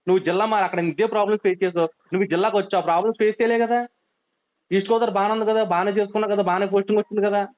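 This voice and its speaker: A man with a coastal Andhra Telugu accent speaks at a racing pace over a thin phone line. His voice sits high for a man, and he keeps urging and scolding insistently, repeating his points. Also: fairly even intonation, racing. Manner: insistent, admonishing, repeats himself. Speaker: friend on a phone call. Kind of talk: urgent, pleading phone conversation. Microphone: telephone. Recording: tinny narrowband phone call.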